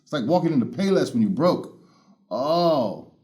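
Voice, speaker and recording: Playful male host with a big voice and lively swings, for casual gear unboxing. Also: on the high side, moderate pace. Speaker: headphone reviewer. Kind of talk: casual unboxing first impressions.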